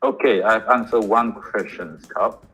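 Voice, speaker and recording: A man with a very low-pitched voice hosts a livestream session and reads out questions from the chat. He talks in a relaxed, chatty way, often with loose fillers. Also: unhurried, poor audio. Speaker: host reading questions from the live chat. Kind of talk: livestream AMA.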